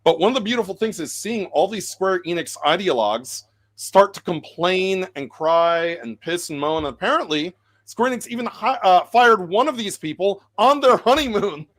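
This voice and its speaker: A man's voice: high voice, lively intonation, normal speed, fairly bright tone. A man who speaks as a podcast guest and gives blunt, opinionated commentary. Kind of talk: casual panel chat.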